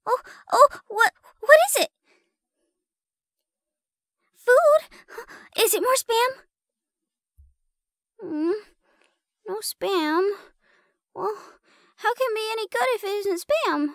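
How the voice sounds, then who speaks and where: A timid, excitable young spider girl for an audio roleplay. Her voice is extremely high, and she stammers in a flustered, naive way. The recording is dry and close. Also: slow pace, pauses often, no echo, full character voice. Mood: curious, excited.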